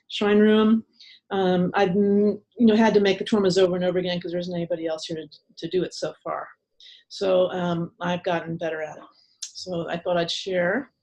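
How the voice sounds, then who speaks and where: A woman's voice: fairly low pitch, darkish tone, poor audio. An older woman leading a casual workshop from her home shrine room over a webcam, chatty and full of ums and restarts. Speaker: meditation teacher leading a workshop. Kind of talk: casual online workshop talk.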